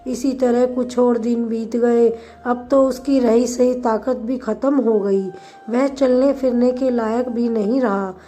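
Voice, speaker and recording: A woman tells a moral tale in a fairly high voice, taking her time over each phrase. Her delivery is scripted and instructive, with a softened, mellow tone. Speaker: storyteller, channel narrator. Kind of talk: scripted moral story narration.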